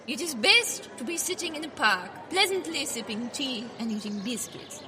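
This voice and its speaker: Posh-mannered prim suffragette speech, comic period style, high and very animated. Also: female voice, full character voice. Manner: prim, declamatory, formal. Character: prim, genteel suffragette lady making a public declaration.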